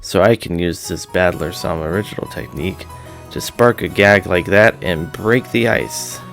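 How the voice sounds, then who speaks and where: A man's voice: sits low, normal speed, creaky, a bit bright, faint music behind. A man hosting a visual novel read-aloud, moving between informal chat and story narration.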